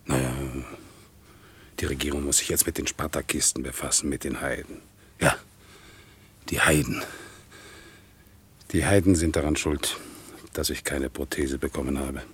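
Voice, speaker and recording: Casting: simple, fervently obedient crippled soldier, radio play, stammering and servile, husky voice. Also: male voice, a bass voice, steady tone, moderate pace, pauses often, fairly bright tone, full character voice. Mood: brooding, bewildered. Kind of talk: 1960s German radio drama acting.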